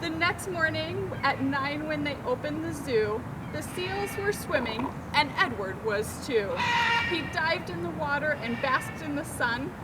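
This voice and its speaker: Female storytime reader, very lively intonation, engaging young listeners, noisy ambient recording. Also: high-pitched, unhurried. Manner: expressive, asks listeners questions about the pictures. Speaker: storytime reader, zoo educator. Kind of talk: children's picture book read-aloud.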